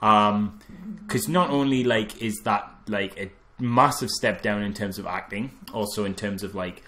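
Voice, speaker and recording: A man's voice: lowish voice, a little slow, a bit bright. A man co-hosting a film review podcast, chatting casually with sardonic jokes and laughter. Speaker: podcast co-host.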